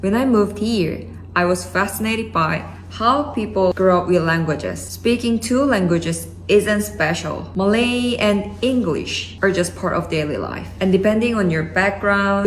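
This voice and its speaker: A woman from Korea giving calm, measured scripted narration. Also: mid-range voice, a little hiss or hum.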